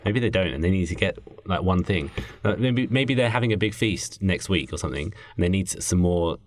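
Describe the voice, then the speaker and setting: A British man thinking out loud in a casual brainstorming chat as a podcast co-host. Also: low voice, expressive, fairly quick, no room sound. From Britain.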